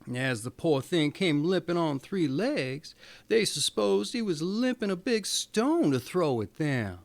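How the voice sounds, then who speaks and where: A male storyteller reads a storybook in a theatrical style. His voice sits a little high for a man and moves through a very lively range of pitch. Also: measured pace, vocal fry. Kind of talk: expressive storybook reading.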